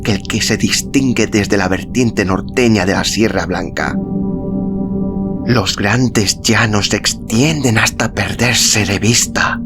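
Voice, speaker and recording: Male audiobook narrator, low pitch, solemn measured storytelling, deliberate pauses, clear background music. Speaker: audiobook narrator and podcast host. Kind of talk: dramatic scripted literary narration. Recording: music behind the voice.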